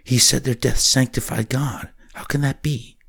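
A man's voice: mid-range voice, steady tone, normal speed, creaky, fairly bright tone, breathy edge, voice pushed up. A man reading the lines of a frightened, curious five-year-old boy who asks anxious questions.

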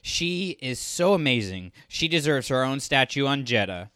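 A man's voice: medium pitch, sing-song, normal speed, pushed and bright, smooth, no room sound, studio quality. A man co-hosting a podcast in casual, jokey, rambling chat. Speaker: podcast co-host.

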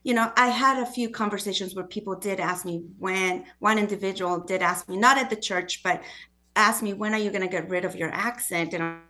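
A woman's voice: medium pitch, a little fast, sharp tone. Earnest woman with a foreign accent. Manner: earnest, acts out quoted voices. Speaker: guest author. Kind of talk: conversational interview.

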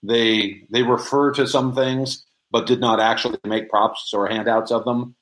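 A man's voice: medium pitch, fairly even intonation, unhurried, a bit bright, low-quality recording. A man speaking casually as an interview guest, explaining things with frequent restarts and doubled phrases. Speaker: interview guest, prop and audio drama maker.

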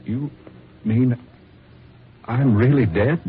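An actor playing a frightened, disbelieving dead man in a radio horror play, halting and pleading. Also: medium pitch, lightly acted. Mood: shaken, pleading. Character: bewildered, frightened dead man refusing to believe. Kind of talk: old-time radio horror acting. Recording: muffled old radio recording, music behind.

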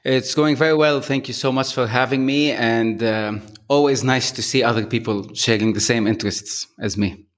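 A man from Lebanon, a podcast guest, talking in an easygoing, measured way with frequent ums. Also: mid-range voice, fairly even intonation. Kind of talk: casual interview talk.

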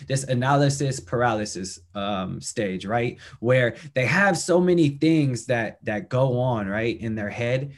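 A young man hosting a chat podcast, friendly and talkative, with plenty of fillers and quick agreements. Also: medium pitch. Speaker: podcast host. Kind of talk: casual interview chat.

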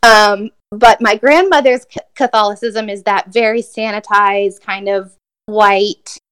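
Thoughtful woman with a smooth voice. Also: mid-range voice, steady tone, measured pace. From Brazil. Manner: thoughtful, many fillers and restarts, soft laughs. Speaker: poet, interview guest. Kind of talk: reflective conversational interview answer.

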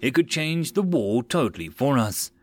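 A man's voice: mid-range voice, big pitch swings, normal speed, darkish tone. Man reading as a terse, pondering commander. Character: pondering male military commander questioning his officer.

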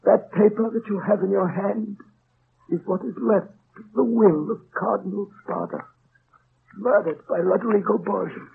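Old dying priest, high creaky voice, slow n halting, solemn old radio drama. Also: male voice, steady tone. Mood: urgent, solemn. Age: older. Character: frail old dying priest, tender fellow prisoner. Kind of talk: 1930s-40s radio drama acting. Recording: muffled, noisy old radio recording.

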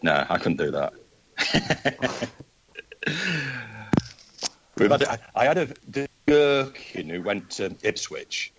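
A man's voice: on the low side, very animated, takes it slowly, fairly bright tone, a little hiss or hum, rough recording. An older man with a Manchester accent, telling rambling stories as a guest football fan on a casual chat show. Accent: Mancunian. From Manchester, England. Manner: rambling anecdotal storyteller.

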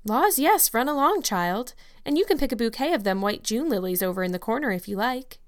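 A woman voicing a hearty, outspoken older village woman in a storybook reading, with brisk, folksy talk. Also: fairly high pitch, expressive, pitched above the performer's own voice, lightly acted. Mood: gossipy.

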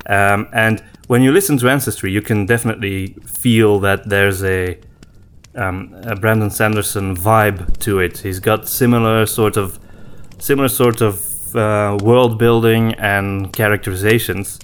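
A man co-hosting a podcast in casual conversation, reflective and often pausing to search for his words. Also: fairly low pitch, steady tone, slight background noise. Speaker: podcast co-host.

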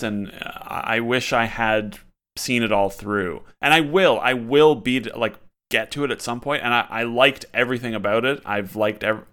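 A man's voice: mid-range voice, a bit bright, clean tone. A man co-hosting a casual podcast about games, talking in a hesitant way with many restarts. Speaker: podcast co-host. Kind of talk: casual chat.

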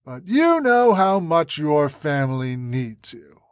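A woman's voice: on the low side, lively intonation, a little slow, no room sound. A woman voicing a hulking male terrorist leader who sneers and draws out taunting threats. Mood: sneering taunts.

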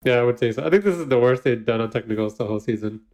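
A man's voice: medium pitch, lively intonation, very fast, a little mellow. A man co-hosting a podcast, recapping a baking show in casual chat, giggling often and using lots of fillers. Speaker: podcast co-host.

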